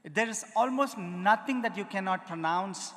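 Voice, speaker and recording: A man with an Indian accent lectures an audience, his voice sitting high for a man. He demonstrates rhythm syllables aloud and keeps asking the listeners questions. His words ring with the long reverb of a big hall. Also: normal speed, a bit bright. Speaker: music lecturer. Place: large auditorium.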